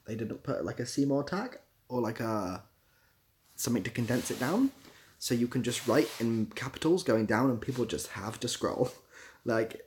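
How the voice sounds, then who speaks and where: A young British man chatting casually on a livestream, rambling a little and dryly playful as he responds to viewers. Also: fairly low pitch. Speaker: live streamer. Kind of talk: casual livestream chat, answering viewer comments.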